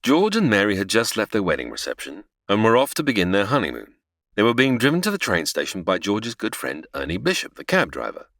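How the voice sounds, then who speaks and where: An actor playing a patient, explanatory narrator in a comedy audio drama, telling a classic story. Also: male voice, fairly low pitch, huge pitch swings, brisk, a bit bright, dry recording, full character voice. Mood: narrating.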